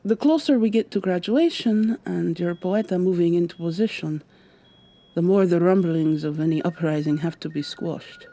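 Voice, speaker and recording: A woman's voice: lowish voice, moderate pace. A woman playing a weary, sardonic vampire receptionist with a secret, acting in a scripted ASMR roleplay. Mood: hushed, alarmed. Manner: polite customer-service patter, hushed urgent asides.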